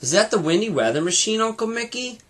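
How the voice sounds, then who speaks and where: Man doing an excitable young boy, pitched up. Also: fast. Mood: curious, excited. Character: curious excitable young boy, easily amazed.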